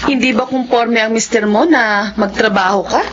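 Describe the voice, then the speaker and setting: An actress playing a friendly, hardworking married co-worker with a Filipino accent in a radio drama. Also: female voice, a little high, fairly even intonation, normal speed, a crowd in the background, lightly acted. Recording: dull radio recording.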